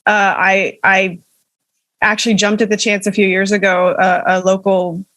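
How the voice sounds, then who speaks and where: A woman's voice: medium pitch, flat delivery, moderate pace, fairly bright tone, no room sound. Wry, chatty woman opera singer. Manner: self-deprecating, wry, chatty. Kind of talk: casual interview chat. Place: small room at home.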